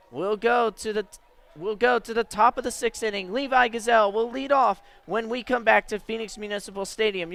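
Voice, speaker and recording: A man's voice: high-pitched, a bit bright. A man doing live radio play-by-play as a sports announcer. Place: sports venue broadcast position. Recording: live sports broadcast with venue noise. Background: clear ambient venue noise.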